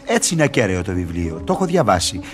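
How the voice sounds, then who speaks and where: An actor in a radio drama playing a sly, mocking devil who wheedles and teases in a declamatory way. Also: male voice, low-pitched, sing-song, over music. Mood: amused. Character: sly, mocking devil tempter and fixer, male. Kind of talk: theatrical radio drama acting.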